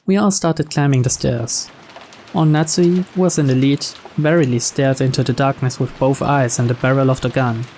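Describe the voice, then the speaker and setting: A man narrates a visual novel in a medium-pitched voice. He reads measuredly as a storyteller, with faint noise and rain-like ambience behind him. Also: fairly even intonation, moderate pace, darkish tone.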